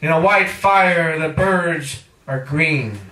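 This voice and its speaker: Slow, high-voiced man chanting poetry. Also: pushed and bright, slight background noise. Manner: incantatory, drawn-out repeated phrases. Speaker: featured poet at a reading. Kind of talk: live poetry recitation. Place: indoor cafe poetry reading. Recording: echoey room, distant microphone.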